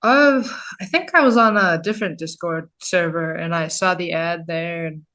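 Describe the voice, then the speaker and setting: A woman with a fairly low voice talks casually as an interview guest. She is a voice actor who tells her stories informally, with a self-deprecating touch. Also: moderate pace, sharp tone.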